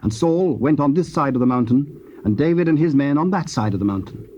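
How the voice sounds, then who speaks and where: A man narrating dramatized scripture in a formal, solemn and measured storytelling style. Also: medium pitch, expressive, dark tone. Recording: muffled, hissy old cassette tape.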